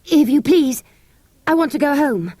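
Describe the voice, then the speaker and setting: A woman voicing an eager, polite young English schoolboy in a storybook, earnest and excitable, with a British accent. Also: sits high, unhurried, no room sound, clean studio sound, voice pushed up. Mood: polite, nervous.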